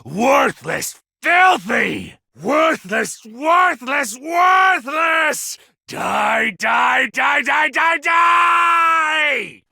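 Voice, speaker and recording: A deranged anime game villain with a god complex, sneering with open contempt. His voice is high for a man and rough with gravel, swinging wildly between menace and screams. He draws his words out theatrically. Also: expressive, extremely slow, sharp tone, no room sound, raised voice, clean studio sound, full character voice. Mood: enraged screaming.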